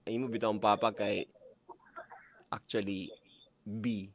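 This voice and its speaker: A man's voice: fairly low pitch, little pitch movement, slow, background noise. A man with a Visayan Filipino accent hosting a radio call-in show in a joking, theatrical, bantering way. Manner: joking, theatrical, acts out dialogues. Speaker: radio show host taking calls. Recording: loud music bed. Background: music.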